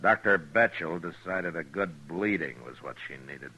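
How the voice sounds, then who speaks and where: Very deep-voiced frontier lawman. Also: normal speed, sharp tone, breathy edge, heavily acted, low-quality recording. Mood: somber. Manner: laconic, plainspoken. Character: steady, easygoing frontier lawman who narrates his own story. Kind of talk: 1950s radio western acting and narration. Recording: 1950s radio drama recording.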